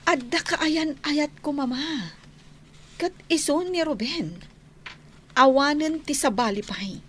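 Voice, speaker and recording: A stubborn, hurt young daughter speaks in a highish voice with an Ilocano accent. The delivery is emphatic radio melodrama, on a faintly noisy recording. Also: female voice, slow pace. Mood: defiant. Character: wounded, unforgiving young woman, stubborn daughter. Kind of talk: radio drama acting.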